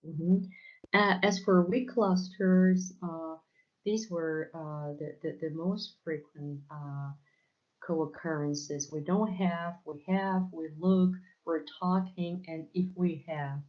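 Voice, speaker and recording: A woman's voice: fairly low pitch, steady tone, slow, muffled recording. A woman with a Polish accent, whose first language is Polish, giving an academic lecture with many fillers and restarts. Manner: hesitant, many fillers and repeated words. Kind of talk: academic conference talk.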